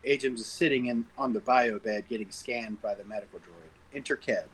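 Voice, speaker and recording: A man's voice: mid-range voice, brisk, husky, poor audio. A man reading stage directions as narrator at an amateur table read over an online call. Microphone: group online call.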